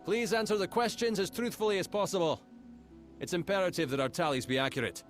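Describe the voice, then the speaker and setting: An actor playing an officious, self-important gate registrar in a video game, speaking in a formal, fussy manner. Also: male voice, high voice, quick pace, soft background music, natural voice. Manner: formal, fussy, stammers when flustered.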